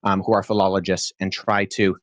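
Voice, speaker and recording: A young man with a lowish voice explains his reasoning earnestly and articulately. He speaks at a measured pace and uses frequent ums and uhs. The sound is dry and close, with no room echo. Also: level tone, a bit bright. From born in Pakistan, childhood in Jordan. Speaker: debate guest, historian. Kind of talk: podcast debate answer.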